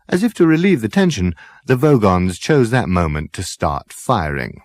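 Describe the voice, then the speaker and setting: A man reads a comic sci-fi audiobook. His voice sits a little low and moves with lively, expressive intonation. The sound is dry and close, the delivery dramatic and scripted. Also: moderate pace, no echo. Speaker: audiobook narrator.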